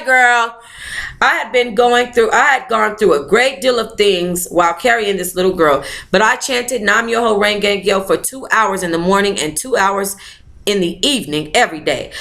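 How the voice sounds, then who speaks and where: Bright, high-voiced woman reading aloud. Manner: sassy, informal, expressive asides. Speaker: book club host reading a memoir aloud. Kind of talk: audiobook-style reading with casual, chatty asides.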